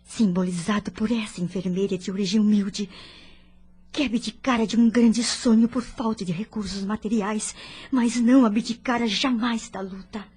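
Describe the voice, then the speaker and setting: Breathy, troubled older mother played by an actress. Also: medium pitch, steady tone, moderate pace, darkish tone, close to an everyday voice. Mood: pensive, troubled. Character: ailing older mother, anguished and pensive. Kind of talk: audio drama acting.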